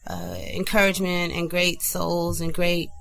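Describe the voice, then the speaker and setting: A woman's voice: fairly low pitch, measured pace, gravelly. A woman from Michigan, a singer guesting on a radio show, telling stories casually and acting out quoted voices. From Flint, Michigan. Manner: animated storyteller, acts out quoted dialogue. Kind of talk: casual interview chat.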